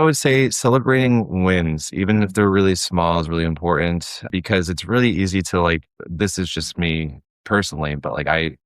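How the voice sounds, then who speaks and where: Male podcast guest, low pitch, earnest casual rambler, very dry recording. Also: no room sound. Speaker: podcast guest, creative producer. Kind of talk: casual conversational interview.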